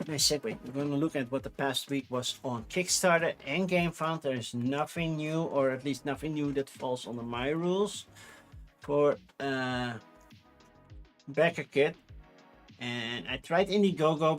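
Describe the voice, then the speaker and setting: A man with a Dutch accent who speaks English as a second language, chatting casually on a livestream, rambling with fillers and restarts. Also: medium pitch. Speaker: streamer.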